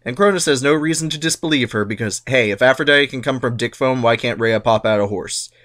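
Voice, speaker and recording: A man's voice: mid-range voice, fairly quick, a bit bright. A man giving a comic dramatic reading of myths, sarcastic and irreverent. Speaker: narrator.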